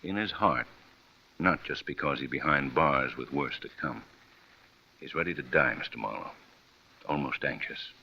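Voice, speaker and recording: A stern prison warden in an old radio drama. His voice is very deep, his delivery formal, measured and shrewd, and the recording is dull and dated. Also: pushed and bright, slightly rough, full character voice. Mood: grave, firm. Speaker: actor in a radio detective drama. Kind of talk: mid-century American radio drama acting.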